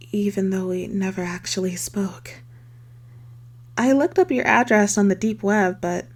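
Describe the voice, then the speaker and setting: A woman playing an unstable, lovesick young circus girl turned kidnapper in an intimate ASMR roleplay, speaking haltingly in a breathy voice that slips into whispers. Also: medium pitch, a little mellow, lightly acted. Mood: wistful, confessional. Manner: intimate, halting, stammering, swinging from sweet to desperate. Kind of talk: scripted ASMR roleplay monologue.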